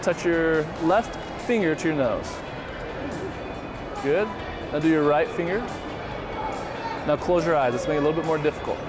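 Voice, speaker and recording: A man in his thirties with a slightly high voice, giving step-by-step instructions and explanations. He sounds encouraging, with music clearly playing behind him in a shopping mall. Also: moderate pace, pauses often, over a noisy crowd. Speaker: TV host and doctor. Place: busy indoor shopping mall. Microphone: lapel mic. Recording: background music and public bustle.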